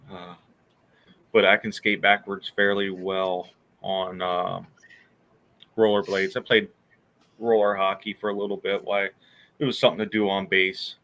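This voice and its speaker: A man talks casually on a podcast in an easygoing, rambling way and chuckles often. His voice sits low but sounds bright and forceful. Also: little pitch movement, unhurried, pauses often. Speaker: military veteran. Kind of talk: casual chat.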